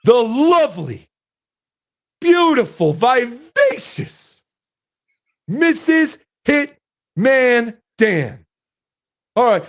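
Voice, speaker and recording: A man voicing a brash, boastful online troll who calls himself Daddy, hoarse from shouting. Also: high voice, heavily acted. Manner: boastful, taunting, drawn-out delivery. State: hoarse, losing his voice. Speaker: guest host. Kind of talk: live stream chat. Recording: dull lo-fi stream audio.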